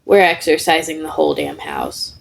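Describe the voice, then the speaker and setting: A woman reading the part of an exasperated, dry male teacher in a story, muttering and deadpan. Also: sits low, normal speed, heavily acted. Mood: stern.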